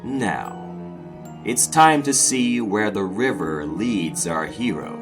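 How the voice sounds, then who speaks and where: A sinister, melodramatic horror host, acted by a man, introduces the tale over prominent music. He speaks low and swings his pitch widely for showmanship. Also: lively intonation. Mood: ominous showmanship. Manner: slow, theatrical, melodramatic. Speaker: podcast host and narrator. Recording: music loud under the voice.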